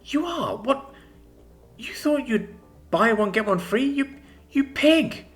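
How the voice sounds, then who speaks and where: A man reading a story, voicing a bold, sharp-tongued young woman who teases and scolds her boyfriend. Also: sits high, brisk, faint music behind, voice pushed up, exaggerated voice. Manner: lively, sharp, teasing.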